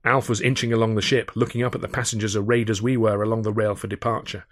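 A man reading a first-person audiobook in a measured, expressive and wry storytelling manner, close on the microphone. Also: on the low side, no echo. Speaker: author reading his own novel.

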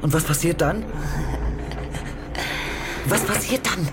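A woman's voice: on the low side, slow pace, heavy background noise. A woman playing a frightened, hunted woman in a horror audio drama, gasping and pleading. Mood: frightened demanding. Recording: loud music and effects underneath.

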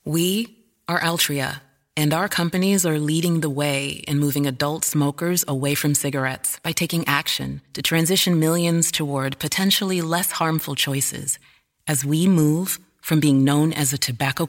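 An announcer giving a measured, polished corporate advertising read. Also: mid-range voice, a bit bright.

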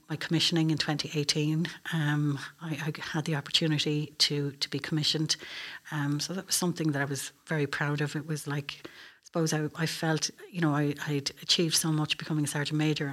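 A middle-aged woman with an Irish accent, explaining things earnestly as a guest in a conversational interview. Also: sits low. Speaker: air traffic control officer, interview guest.

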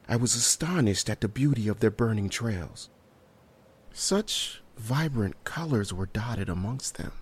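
A man's voice: on the low side, a little mellow, slightly rough, heavily acted. An actor playing a haunted survivor in a horror audio drama, recounting his ordeal in a hesitant, solemn and descriptive way. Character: haunted male survivor recounting a harrowing night.

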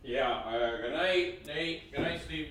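A man's voice: on the high side, takes it slowly, a bit bright. An actor playing a blasé, hungover man in an audio drama, speaking in a hesitant, rambling, naturalistic way. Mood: tired, tipsy. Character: hungover, blasé man joking fatalistically with his housemate.

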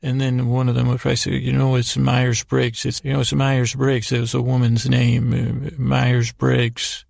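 A man hosting a podcast in a meandering, halting monologue, stammering and repeating words in a self-deprecating way. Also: mid-range voice, completely flat delivery, vocal fry, a little mellow, no room sound, studio quality. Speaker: podcast host. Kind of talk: rambling, absurd sleep podcast monologue.

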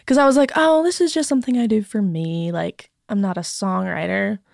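A woman's voice: mid-range voice, brisk, creaky, a little mellow, dry recording, clean studio sound. A young woman, a singer-songwriter guest on a podcast, chatting and giggling, saying "like" constantly. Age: young adult. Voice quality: mezzo-soprano singer. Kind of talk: casual interview chat.

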